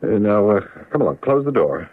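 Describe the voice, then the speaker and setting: An actor playing a formal, brusque, impatient middle-aged executive in a radio drama. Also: male voice, fairly low pitch, very animated, a little fast, slight background noise. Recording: tinny, narrow-band old radio recording.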